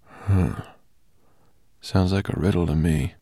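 A man voicing a gentle, thoughtful male dragon who speaks calmly. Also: low-pitched, slow, no echo, studio quality, exaggerated voice. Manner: calm, thoughtful.

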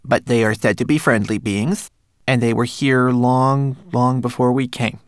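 A man voicing a wise old llama, speaking slowly and solemnly in an audiobook. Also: medium pitch, steady tone, clean tone, no room sound, clean studio sound, voice pushed up, lightly acted. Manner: slow, solemn.

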